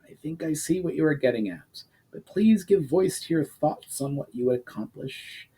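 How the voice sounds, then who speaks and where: Man playing a stern duke, raised gravelly voice. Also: on the high side, animated, warm tone, pitched above the performer's own voice. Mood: measured, guarded. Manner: measured, formal, lordly. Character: stern, weathered duke, seasoned political player.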